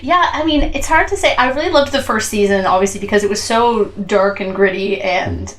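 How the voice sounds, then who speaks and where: A woman's voice: mid-range voice, a little fast, poor audio. A woman, co-hosting a podcast in an informal, giggly, self-joking way. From Canada. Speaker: podcast co-host. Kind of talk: casual conversational review chat.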